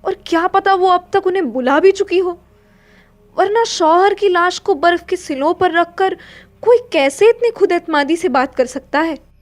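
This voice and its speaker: A woman's voice: very high voice, moderate pace. A woman narrating a literary story in a dramatic audio-play style. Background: faint music.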